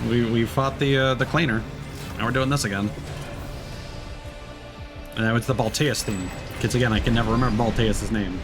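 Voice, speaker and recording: A man's voice: mid-range voice, fairly even intonation, moderate pace, long pauses. A man streaming a video game with casual, reactive commentary that rambles and breaks into exclamations. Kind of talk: casual live gameplay commentary. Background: game music and sound effects.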